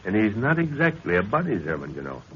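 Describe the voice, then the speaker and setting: Seasoned expedition leader, low voice, calm, commanding, 1930s radio drama, muffled hissy recording. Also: male voice. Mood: admiring. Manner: measured, reassuring, commanding. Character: calm, authoritative older British adventurer, former secret agent. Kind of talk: 1930s radio adventure acting.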